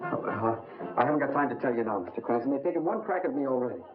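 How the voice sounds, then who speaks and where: An actor in a 1950s crime film playing a frightened, halting man who is in trouble and pleading for help. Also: mid-range voice, animated, lightly acted. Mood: anxious, pleading. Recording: tinny vintage film soundtrack with music.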